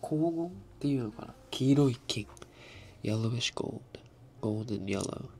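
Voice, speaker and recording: A young man hosting an ASMR live stream, answering chat in a soft, half-whispered, slightly raspy voice and laughing often. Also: mid-range voice, moderate pace, slight background noise. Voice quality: a little raspy.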